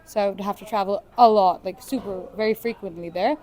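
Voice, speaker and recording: Slow, thoughtful young woman from Bangladesh. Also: medium pitch, mellow. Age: young adult. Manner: thoughtful, slow-spoken, with frequent "super". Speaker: student interviewee. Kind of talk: casual interview answers.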